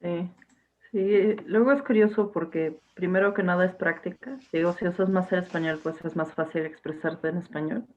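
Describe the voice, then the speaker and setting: A woman's voice: fairly low pitch, steady tone, moderate pace, darkish tone. A young woman teacher, talking to her class casually, with fillers and restarts over a video call. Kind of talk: casual online class talk. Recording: tinny phone-like line.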